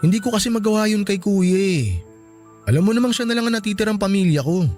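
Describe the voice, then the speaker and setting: Actor as a meek, higher-voiced Filipino young husband. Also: male voice, fast, a little mellow, faint music behind, natural voice. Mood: apologetic, pleading. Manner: hesitant, pleading. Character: meek, apologetic young husband, soft-hearted toward his brother. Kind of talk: Filipino radio drama acting.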